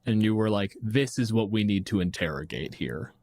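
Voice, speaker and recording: Canadian male co-host, lowish pitch, dry close mic, sardonic and blunt, casual conversational delivery. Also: expressive, moderate pace, a little mellow, no room sound. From Windsor, Ontario, Canada. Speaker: podcast co-host. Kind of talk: casual chat about tabletop gaming.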